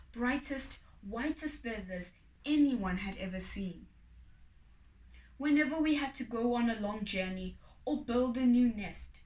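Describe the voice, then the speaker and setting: A woman narrating a children's folk tale expressively, with deliberate, dramatic phrasing and pauses. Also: on the high side. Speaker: storybook reader. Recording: echoey room, distant microphone.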